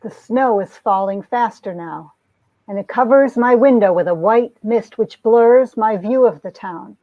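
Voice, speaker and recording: A woman's voice: a little high, a little slow, lightly acted. A woman playing a tender, wistful young heroine who earnestly narrates her 1950s comic-book romance, in dull, dry sound.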